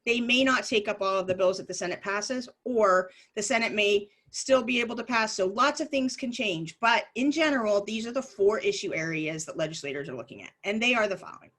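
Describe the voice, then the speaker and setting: A woman lobbyist leading an informal online training, explaining things briskly with frequent ums and restarts, her voice worn down and a cough drop in her mouth. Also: medium pitch, a bit bright.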